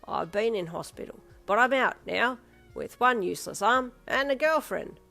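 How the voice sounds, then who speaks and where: A woman's voice: on the high side, expressive, normal speed, gravelly, faint music behind, voice pushed up, full character voice. Woman playing a wobbly, cheeky old man. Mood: cheerful. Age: older. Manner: bright, leering, teasing. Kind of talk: character voice in narrated story.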